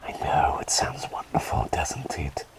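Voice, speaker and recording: A man playing a gentle, doting plague doctor in an ASMR roleplay, speaking in soothing, slightly formal, breathy whispers. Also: measured pace, natural voice. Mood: encouraging, playful. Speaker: ASMR performer.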